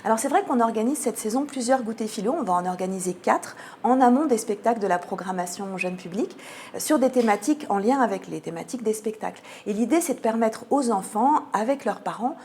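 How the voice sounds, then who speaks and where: A woman's voice: on the high side, lively intonation, a little fast, darkish tone, a little hiss or hum. A woman with an accent from France, explaining a cultural programme as a radio interview guest, articulate but often hesitating as she finds her words. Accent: French from France.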